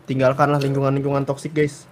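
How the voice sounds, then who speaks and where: Seorang pria muda membawakan podcast obrolan santai, membacakan surat pendengar sambil bercanda. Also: male voice, mid-range voice, monotone, a crowd in the background. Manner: fast, joking, reads letters aloud with banter. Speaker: podcast host reading letters. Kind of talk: casual group podcast chat.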